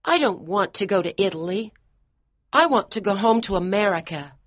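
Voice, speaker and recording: An outspoken little American boy from a storybook, played by a woman. The delivery is childlike and blunt, with pitch that jumps around in an animated way. The sound is dry, without room echo. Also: normal speed, close to an everyday voice. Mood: blunt, childish. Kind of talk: graded audiobook character dialogue.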